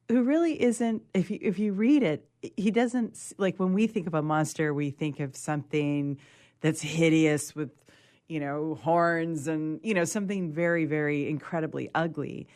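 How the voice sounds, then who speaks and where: A woman's voice: deep voice, animated, unhurried, darkish tone. A woman co-presenting a casual literary discussion, earnest and emphatic, rephrasing as she goes. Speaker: co-presenter and reader.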